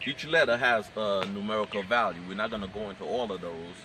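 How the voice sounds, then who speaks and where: A man teaching a Hebrew class, explaining in a measured way and reading scripture aloud. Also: fairly low pitch, background noise. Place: outdoor training camp.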